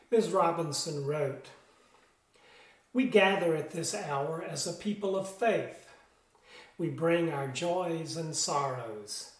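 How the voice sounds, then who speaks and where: Slow, higher-voiced older man reading solemnly. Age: 60s. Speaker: church board officer, lay reader. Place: room in a home, seated at a table. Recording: roomy indoor echo.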